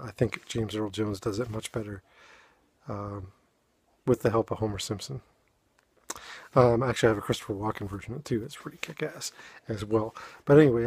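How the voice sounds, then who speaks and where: A man with a New England accent from Boston, a poet talking casually to his viewers in a halting way with many fillers, then reading poems aloud. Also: on the low side, darkish tone, husky. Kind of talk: casual chat and poetry reading.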